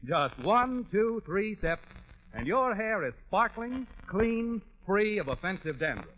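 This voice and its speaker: A man's voice: high voice, very animated, unhurried, slight background noise. A male radio announcer reading scripted commercials in 1940s style, with emphatic, polished salesman's enunciation. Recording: tinny, narrow-band 1940s radio recording.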